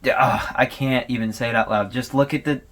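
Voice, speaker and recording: A man's voice: mid-range voice, steady tone, fairly quick, fairly bright tone. A man hosting a video analysis, giving casual, conversational commentary with hesitations and informal asides. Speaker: host of a horror series analysis video.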